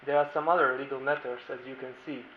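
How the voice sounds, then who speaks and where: A man's voice: medium pitch, steady tone, fast talker. A lawyer from Slovakia whose first language is Hungarian gives a formal conference presentation, keeping close to his notes and phrasing things with care. His voice carries the echo of a large conference room. The recording is dull, with a faint hiss underneath. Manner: formal, reads from notes, careful non-native phrasing.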